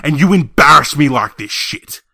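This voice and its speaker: A man's voice: mid-range voice, unhurried, pushed and bright, dry recording, clean studio sound. A man playing a young, hot-tempered, lovestruck scarecrow in an emotional audio roleplay performance. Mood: furious. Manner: emotional, profane, stammering when cowed.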